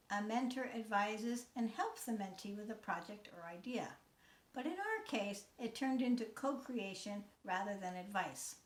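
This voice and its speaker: A woman in her sixties from the United States, a teacher giving a scripted talk in a measured, earnest way. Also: medium pitch, roomy sound. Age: 60s. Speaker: teacher and mentor. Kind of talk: scripted talk, read aloud.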